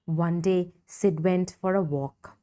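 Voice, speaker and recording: A woman reading a children's story expressively, with deliberate dramatic emphasis for young listeners. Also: on the low side, fairly even intonation, mellow. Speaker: storybook reader.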